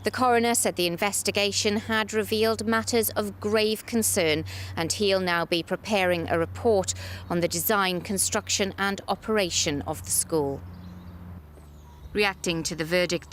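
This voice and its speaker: A woman's voice: medium pitch, moderate pace, fairly bright tone, a little hiss or hum. A woman news reporter narrating a scripted report in a serious broadcast style.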